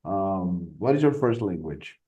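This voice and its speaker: A man's voice: low voice, expressive, unhurried, warm tone, husky, highs cut off. A man with a Brazilian accent hosting a casual podcast chat, playful, laughing often and stumbling over words. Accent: Brazilian Portuguese. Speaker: podcast host and English teacher.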